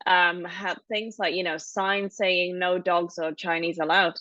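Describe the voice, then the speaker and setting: Low-voiced woman from Hong Kong. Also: level tone, a little slow, bright, forceful tone, no echo, dull audio. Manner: explanatory, thoughtful storytelling. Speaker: podcast co-host. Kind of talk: casual podcast conversation.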